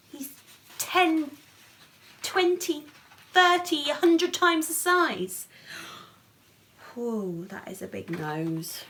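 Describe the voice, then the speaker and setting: A woman's voice: fairly high pitch, a bit bright. A woman storyteller reading a children's picture book expressively, with playful asides and questions for young listeners.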